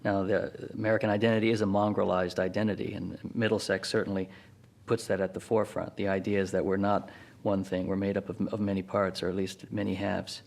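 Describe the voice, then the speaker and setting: A man speaking as a novelist in a reflective interview, thoughtful and halting as he picks his words. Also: deep voice, little pitch movement, vocal fry, a little mellow.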